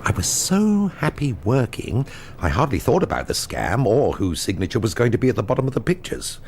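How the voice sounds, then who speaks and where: An actor playing a dry, understated and evasive painter in a radio drama. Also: male voice, medium pitch, very animated, fairly quick, a little mellow, slight background noise. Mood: reflective narration. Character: wry, evasive painter caught in a forgery scam. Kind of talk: British radio drama acting with narration.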